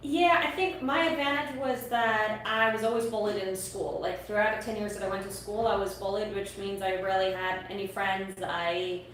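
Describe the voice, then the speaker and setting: A woman's voice: medium pitch, steady tone, normal speed, few pauses, fairly bright tone. A young woman from Austria, German her first language, speaks earnestly as an interview guest, often restarting her phrases. She sits far from the mic in a very echoey space with faint background noise. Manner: earnest, repeats words while starting sentences. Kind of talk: casual interview talk.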